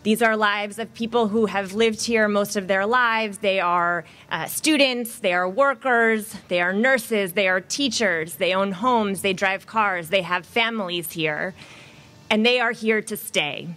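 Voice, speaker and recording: Female activist speaker, average pitch, impassioned and emphatic public address. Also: measured pace, fairly bright tone. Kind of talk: impassioned rally speech.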